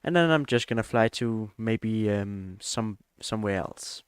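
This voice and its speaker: Slow, hesitant man. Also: on the low side, expressive, clean tone, no echo. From Denmark. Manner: rambling, hesitant, many fillers. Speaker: flight sim streamer. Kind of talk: live stream gameplay chat.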